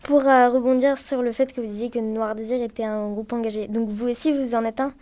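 Femme, voix aiguë et douce, reporter radio étudiante, questions posées avec soin. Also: female voice, a little high, fairly even intonation, a little fast, warm tone. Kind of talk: interview questions.

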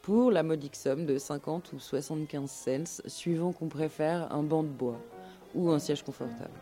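A woman narrating a scripted radio documentary story in measured, literary phrasing. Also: low voice, music in the background.

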